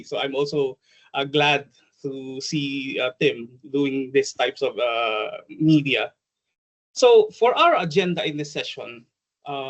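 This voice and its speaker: A man's voice: a little high, muffled recording. A man with a Filipino accent, probably in his forties, giving an online workshop in a chatty, hesitant way, full of ums and uhs. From Philippines. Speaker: trainer and presenter. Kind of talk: online conference workshop presentation.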